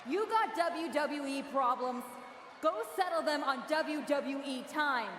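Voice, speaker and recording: A woman's voice: sits high, fairly bright tone. Bitter jealous lady wrestler, taunting promo, echoey arena w/ noisy crowd. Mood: menacing. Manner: slow, deliberate, taunting speech. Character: bitter, jealous female wrestler turned villain, betrayed friend.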